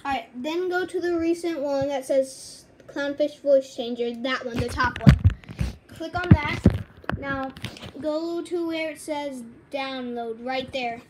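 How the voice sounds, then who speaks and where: A woman giving casual step-by-step computer instructions in a halting way, often repeating and correcting herself, recorded indoors on a handheld device. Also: sits high. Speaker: tutorial host. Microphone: handheld phone or camera, filming screen. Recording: noisy handheld camera recording, clicks.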